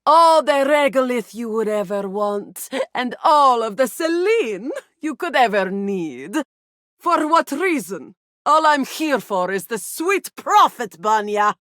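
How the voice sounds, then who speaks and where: A woman's voice: on the high side, very animated, slow, a bit bright, dry recording, clean studio sound, full character voice. A woman playing a greedy, scornful villainess in a sci-fi video game, with haughty, theatrical delivery. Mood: gloating. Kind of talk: video game character voice lines.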